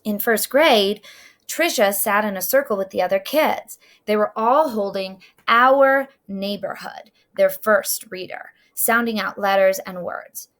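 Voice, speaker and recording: This is a middle-aged woman reading a picture book aloud. Her medium-pitched voice has a noticeable creak, and she phrases the story deliberately and dramatically for young listeners. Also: a bit bright. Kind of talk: expressive children's read-aloud narration.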